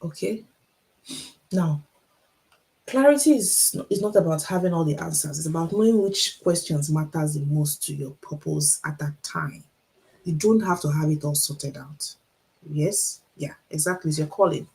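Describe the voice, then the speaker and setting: A middle-aged Nigerian woman speaks in a low, gravelly voice with a Nigerian accent. She talks deliberately, so her listeners can keep up. She repeats her main points and throws rhetorical questions at the viewers of her livestream. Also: a little mellow, poor audio. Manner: encouraging, repeats key lines, asks rhetorical questions. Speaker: author and motivational speaker. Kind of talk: motivational livestream talk.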